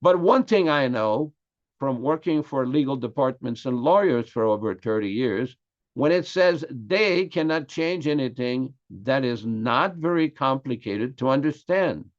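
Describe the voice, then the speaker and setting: A man's voice: mid-range voice, expressive. An older man from Quebec with a Québécois French accent, French his first language, giving an earnest, emphatic class on scripture. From Quebec, Canada. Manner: earnest and emphatic, stressing key words slowly. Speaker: religious class speaker. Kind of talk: lecture on scripture. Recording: slightly dull lo-fi audio.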